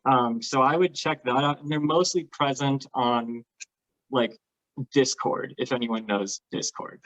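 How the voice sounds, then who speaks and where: A man's voice: medium pitch, a little slow, a bit bright, poor audio. A man talking casually and enthusiastically, explaining things as a guest on a talk show. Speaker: guest, LARP participant.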